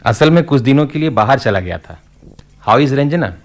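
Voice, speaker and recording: An actor playing a genial, avuncular family doctor in a radio play, jovial and professional, mixing in English phrases. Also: male voice, medium pitch, lively intonation, normal speed, close to an everyday voice.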